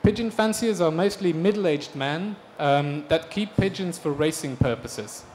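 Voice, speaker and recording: A man from Belgium giving a conference talk about his design projects, relaxed and hesitant, with frequent ums. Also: a little high, measured pace. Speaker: speaker presenting design projects. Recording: reverberant hall with faint noise.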